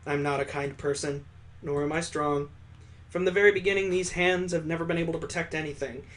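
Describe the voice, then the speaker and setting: A man voicing a subdued, sorrowful young wife in a visual novel, speaking quietly and tersely with restraint. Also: on the high side, steady tone, a little fast, natural voice. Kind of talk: visual novel character read aloud.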